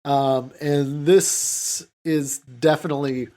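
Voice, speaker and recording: A man's voice: fairly high pitch, steady tone, takes it slowly, warm tone. A man hosting a podcast, talking casually about films in a hesitant, rambling way with lots of "you know". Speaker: podcast host. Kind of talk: casual film discussion.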